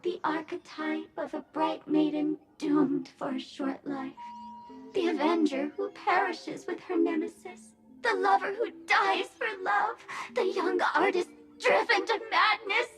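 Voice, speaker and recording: A woman's voice: extremely high pitch, steady tone, unhurried. A woman voicing a forlorn, unhinged living mask of a maiden in a video game, melodramatic and pleading. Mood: theatrical pleading. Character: cast-off theatrical maiden mask, forlorn, unhinged, desperately smiling. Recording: loud music under the voice. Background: music.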